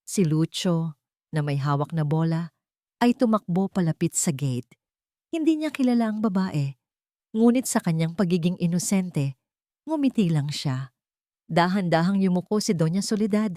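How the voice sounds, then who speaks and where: A woman reading a scripted love story as its narrator, in measured, deliberate, dramatic phrases. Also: lowish voice, dark tone, no room sound, studio quality.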